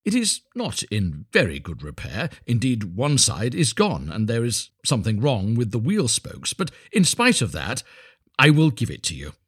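Man playing a pompous miller with animated pitch. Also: husky, dry recording, studio quality, full character voice. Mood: grandly self-satisfied. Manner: pompous, sententious, drawn-out phrasing. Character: pompous, self-satisfied miller, condescending false friend. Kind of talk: storybook character voice.

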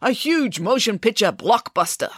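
A woman's voice: mid-range voice, expressive, normal speed, fairly bright tone, no echo, clean studio sound, voice pushed up, close to an everyday voice. A woman voicing a brash, persuasive male casting agent in a children's story, with a pushy salesman's manner. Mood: pushy, eager.

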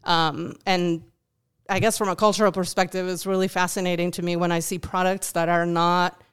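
A woman's voice: on the low side, little pitch movement, moderate pace, smooth. A woman from Uruguay whose first language is Spanish, speaking English with a Uruguayan Spanish accent as a podcast guest, thoughtful, with many ums and restarts. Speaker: guest, food bank volunteer. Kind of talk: podcast interview.

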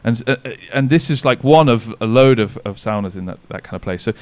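A man's voice: medium pitch, expressive, brisk, darkish tone, no room sound. A man talking casually as a podcast guest over a remote call, rambling with many restarts and fillers. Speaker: podcast guest, sauna business owner. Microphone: remote recording call.

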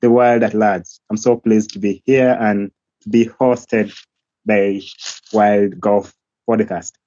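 A young man with a Ugandan accent, speaking earnestly and slowly as an interview guest, often pausing to search for words. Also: on the low side, steady tone, warm tone, no room sound. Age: young adult. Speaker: guest, golf academy founder. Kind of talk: remote interview. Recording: dull lo-fi line, faint music.

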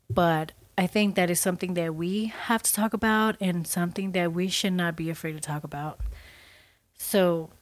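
A woman's voice: on the low side, no echo. A woman in her thirties, stuffy from a cold, hosting a podcast and telling a personal story in a casual, reflective way with frequent restarts. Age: 30s. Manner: unhurried, reflective, trails off and restarts. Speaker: podcast host.

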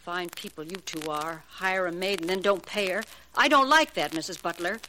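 An actress playing a blunt, indignant housemaid in a 1940s radio drama, surly and plain-spoken. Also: female voice, on the low side, normal speed, natural voice. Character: indignant, blunt housemaid demanding her pay. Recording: slightly noisy old 1940s radio recording.

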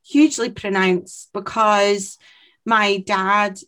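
A woman's voice: medium pitch, fairly even intonation, slow, fairly bright tone. A woman with a mild northeast Scottish accent, from Aberdeenshire, chatting casually as a show co-host. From Aberdeenshire, Scotland. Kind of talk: casual chat.

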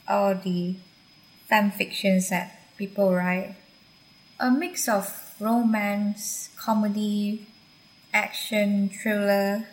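A woman's voice: mid-range voice, poor audio. A young woman from Malaysia, candidly and reflectively recounting her university years for a podcast. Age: young adult. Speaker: university graduate guest. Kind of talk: podcast personal account.

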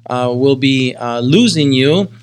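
A man's voice: medium pitch, animated, clean tone. A man hosting a podcast, interviewing casually and conversationally, often repeating words as he forms his questions. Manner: halting, repeats words while forming questions. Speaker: podcast host.

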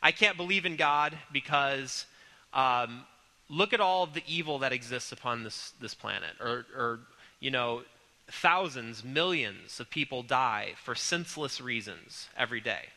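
Man, congested, church class teacher, earnest and halting, very bright tone, long reverb. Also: mid-range voice, lively intonation. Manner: earnest, halting with many ums and restarts. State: congested with a cold. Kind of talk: recorded church class lecture.

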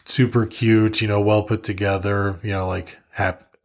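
Gravelly low-voiced man swearing casually. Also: little pitch movement, a little slow. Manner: blunt, swears freely, laughs a lot. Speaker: podcast co-host. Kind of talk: casual chat.

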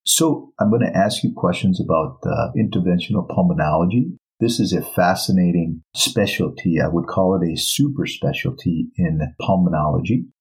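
A man's voice: sits low, moderate pace, hardly any pauses, darkish tone, husky, low-quality recording. A man hosting a medical podcast, asking his guest questions in a friendly, encouraging way. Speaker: podcast host, doctor. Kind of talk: interview hosting.

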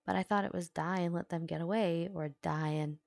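A woman's voice: fairly low pitch, fairly even intonation, fairly quick, gravelly, a little mellow, dry recording. A woman voicing an earnest, stubborn young heroine in a dramatic story, speaking emphatically and with open emotion. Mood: subdued, shaken.

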